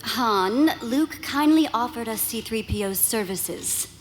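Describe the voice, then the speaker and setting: An actress in a live audio drama playing a composed, firm princess who scolds gently and patiently. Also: female voice, a little high, expressive, slow. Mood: firm, coaxing. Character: composed, firm young princess and rebel leader. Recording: live stage with faint noise.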